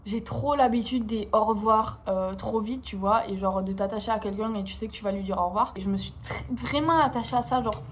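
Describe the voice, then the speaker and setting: A young woman with an accent from France, vlogging in an informal, slangy, expressive way, confiding casually in her viewers. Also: mid-range voice, brisk, few pauses, a little hiss or hum. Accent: French from France. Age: young adult.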